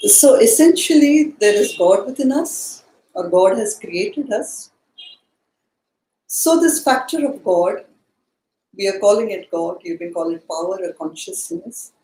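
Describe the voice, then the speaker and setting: A woman hosting a book study, speaking in a measured way as she sums up points and poses questions. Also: medium pitch, very animated, dark tone. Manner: measured, summarizing and posing questions. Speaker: study session host. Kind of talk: guided book study discussion. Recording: echoey, roomy sound.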